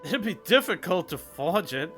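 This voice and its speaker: A man giving playful, theatrical gameplay commentary into a close microphone, jokey and excitable in his reactions. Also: sits high, normal speed. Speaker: streamer playing a game. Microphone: close studio microphone. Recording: game music behind the voice.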